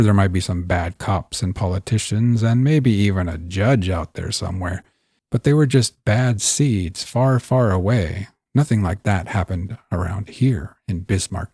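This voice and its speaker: A man's voice: lowish voice, darkish tone, husky, no echo, clean studio sound. A man hosting and narrating a scripted fiction story. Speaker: host and narrator.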